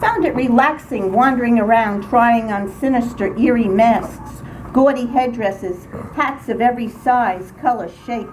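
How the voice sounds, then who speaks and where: A woman's voice: fairly high pitch, unhurried, few pauses, darkish tone. An older woman reading a humorous personal essay aloud in a theatrical, comic storytelling manner. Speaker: writer reading her own account to a writing group. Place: indoor group meeting room. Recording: noisy room recording, background ambience.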